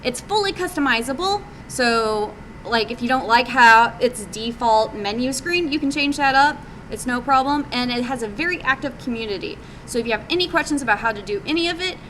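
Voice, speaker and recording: Bright-voiced woman giving an informal talk. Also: a little high, expressive, brisk. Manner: hesitant, conversational, casual phrasing. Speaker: indie game developer presenting at a meetup. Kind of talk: informal live presentation. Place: live group meeting, presenting to attendees. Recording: live meetup recording with background noise.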